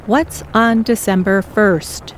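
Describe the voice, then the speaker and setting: An actress playing a measured, insistent middle-aged mother who is a doctor, in an audio drama. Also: medium pitch, fairly even intonation, slight background noise, lightly acted. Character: firm, pushy middle-aged mom, a doctor.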